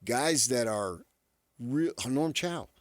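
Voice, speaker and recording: Mid-pitched man chatting on sports radio. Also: expressive, slow, dry recording, clean studio sound. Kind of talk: casual sports talk banter. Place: radio studio.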